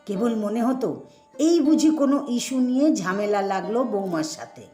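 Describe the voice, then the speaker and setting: A woman voicing a retired Bengali mother-in-law, quietly disapproving and self-restrained, in an expressive, conversational story reading. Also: on the high side, normal speed, natural voice. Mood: resolved, reflective. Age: older. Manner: conversational, expressive, rhetorical questions. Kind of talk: first-person dramatic story reading. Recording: echoey voice over sitar music.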